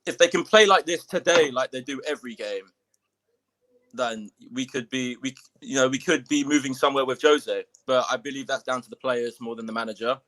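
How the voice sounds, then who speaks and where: A man calling in as a fan, croaky from screaming, talking hesitantly with lots of fillers. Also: mid-range voice, a bit bright, poor audio. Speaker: caller, football fan. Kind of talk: casual fan chat.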